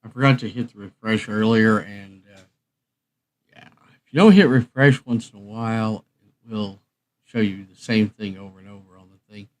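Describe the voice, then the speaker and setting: A middle-aged man hosting a livestream, chatting casually and thinking aloud in an unhurried, rambling way. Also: lowish voice. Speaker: livestream host.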